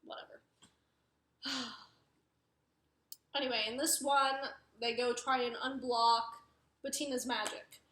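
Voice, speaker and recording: A young woman with a high voice and a mixed Wisconsin, New York and Arizona accent, talking casually and rambling as she corrects herself. The room around her adds a noticeable echo. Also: fairly even intonation, takes it slowly, long pauses, fairly bright tone. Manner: rambling, self-correcting, many fillers. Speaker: book reviewer. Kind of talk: casual chat. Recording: echoey room.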